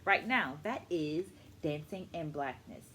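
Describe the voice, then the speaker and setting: A middle-aged woman presenting a scripted book review to camera as an educator, in a measured, articulate way. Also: low-pitched, expressive, fairly bright tone. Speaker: host, dance educator. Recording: clearly noisy room ambience.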